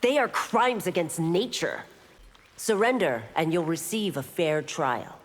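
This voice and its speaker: An actress playing a stern, resolute law officer and warrior in a video game, with a firm, formal manner. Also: female voice, lowish voice, expressive, a little slow. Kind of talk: video game heroine acting. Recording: reverberant arena with clear background noise.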